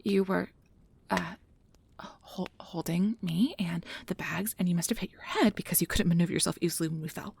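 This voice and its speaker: A woman playing a nervous, caring young magic researcher in an ASMR audio drama, rambling in a flustered, halting, apologetic way. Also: lowish voice, creaky, darkish tone, breathy edge. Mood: explaining, flustered.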